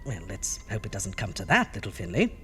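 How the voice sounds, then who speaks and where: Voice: medium pitch, wildly swooping pitch, fairly quick, fairly bright tone, husky, full character voice. A performer playing a flamboyant undead doctor who hosts a campy horror radio show with theatrical, mock-sweet delivery. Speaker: host.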